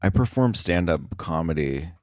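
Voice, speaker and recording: A man talking casually as an interview guest, halting often and correcting himself as he goes. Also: sits low, lively intonation, a little mellow, dry recording, clean studio sound. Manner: halting, filler-heavy, self-correcting. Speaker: podcast guest, sound editor.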